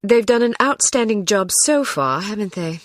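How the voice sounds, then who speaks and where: An actress playing a determined, sharp-tongued young British spy in a video game, speaking in a dry, articulate way. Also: female voice, mid-range voice, animated, normal speed, no echo, close to an everyday voice. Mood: frustrated.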